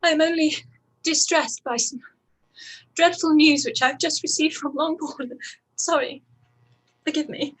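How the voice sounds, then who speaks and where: A woman acting a distressed, proud young gentlewoman in a period radio play, with emotional, halting delivery. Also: high voice, fairly even intonation, a little hiss or hum, poor audio.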